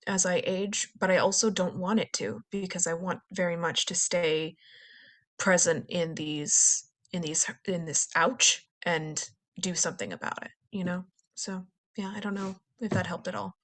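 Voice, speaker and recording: Earnest woman with a slightly low voice. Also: moderate pace, fairly bright tone. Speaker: panelist. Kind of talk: candid personal reflection in discussion.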